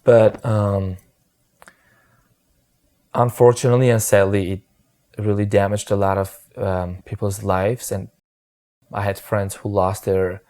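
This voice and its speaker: A young man from Armenia who speaks English as a second language tells his story in a casual interview. His voice sits on the low side. He talks softly and haltingly, pausing often on fillers. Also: flat delivery. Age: 20s. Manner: soft-spoken, hesitant with many fillers. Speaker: interview guest, former soldier.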